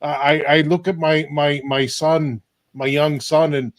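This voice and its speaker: A man's voice: a little high, little pitch movement, slow, dry recording. A middle-aged man from Canada hosting a casual group video call, rambling and restarting his sentences. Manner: rambling, repeats words and restarts. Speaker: host.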